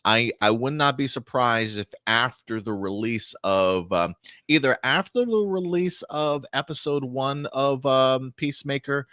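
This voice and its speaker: A man's voice: mid-range voice, a little slow, pushed and bright, dry recording, studio quality. A man hosting a casual live entertainment talk show, discussing as a fan in an emphatic, opinionated way.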